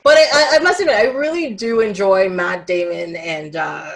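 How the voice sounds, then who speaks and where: A woman co-hosting a podcast, chatting casually about a movie in a rambling, animated way. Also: mid-range voice, moderate pace, gravelly. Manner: animated, rambling, frequent "like" and laughter. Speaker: podcast co-host.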